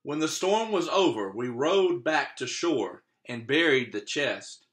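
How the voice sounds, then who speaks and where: A man's voice: mid-range voice, lively intonation, measured pace, a bit bright. A man reading a picture book aloud expressively as a teacher, stopping to put questions to young listeners.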